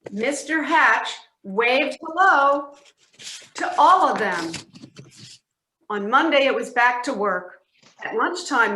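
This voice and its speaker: A woman narrating a scripted storybook tale with deliberate, expressive emphasis. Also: fairly high pitch. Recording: echoey room.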